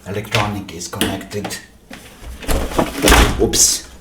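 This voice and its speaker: A man repairing a machine at his workbench, quietly commenting to himself in short, muttered remarks. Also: low voice, flat delivery, heavy background noise. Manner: slow, muttered remarks to himself between tasks. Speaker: repair channel host.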